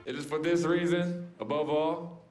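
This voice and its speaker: A man's voice: on the high side, fairly even intonation, moderate pace, a little mellow, faint music behind, natural voice. An actor playing an earnest young male basketball star in a video game cutscene, laid-back with his family and formal at a press conference. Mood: formal speech. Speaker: pro basketball player.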